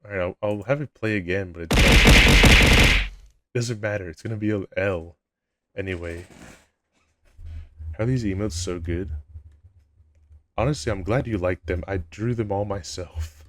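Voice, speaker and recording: A young man with a low voice, chatting casually with his live-stream chat in a laid-back, rambling way at a desk mic at home. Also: expressive, measured pace, long pauses, noisy background.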